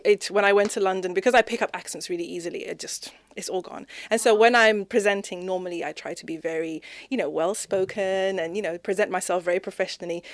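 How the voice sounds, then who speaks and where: A woman from Zimbabwe, a podcast guest talking expressively in a conversational interview, speeding up and rising in pitch when animated. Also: dry recording.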